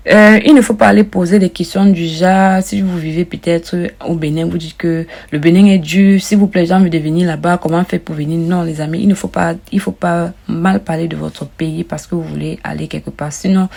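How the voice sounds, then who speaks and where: A woman's voice: on the low side, fairly even intonation, moderate pace, vocal fry, a little mellow. A woman vlogger casually walking viewers through an application form, often repeating and rephrasing herself and calling them her friends. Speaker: immigration advice vlogger.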